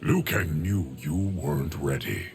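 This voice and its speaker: An actor voicing a calm, wise, ancient man in a video game, with a gravelly voice. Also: a bass voice, measured pace, faint music behind, full character voice. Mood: solemn.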